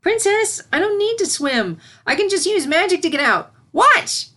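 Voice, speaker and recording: Excitable, squeaky young unicorn filly. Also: female voice, moderate pace, heavily acted. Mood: confident, pleased. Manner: eager, childlike, exclamatory.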